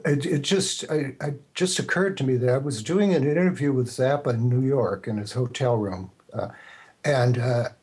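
An older man telling stories casually as a podcast guest, in a halting, circling way. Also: mid-range voice, a little mellow, husky. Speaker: podcast guest, radio producer. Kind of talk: casual storytelling.

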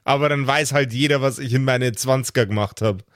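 A man's voice: mid-range voice, fairly quick, fairly bright tone. A man chatting casually and slangily as a player in an improvised role-playing game.

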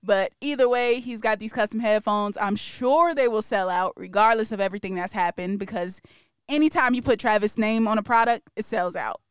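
Chatty woman podcast host, crisp and dry. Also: mid-range voice, brisk, no room sound. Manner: chatty, opinionated, laughs often. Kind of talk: casual pop-culture podcast chat.

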